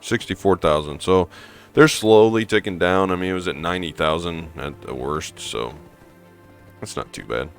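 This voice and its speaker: A hoarse man playing a game and thinking aloud in a rambling, casual way, with fillers and restarts. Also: sits low, normal speed, soft background music. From American Midwest. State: hoarse from allergies. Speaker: gaming streamer. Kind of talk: casual gameplay commentary.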